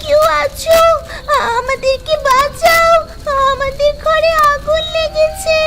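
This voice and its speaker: A woman voicing an excitable, innocent young village girl who exclaims and pleads in a fairy-tale cartoon. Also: slow. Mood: panicked, wailing. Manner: high, wailing, exclaiming.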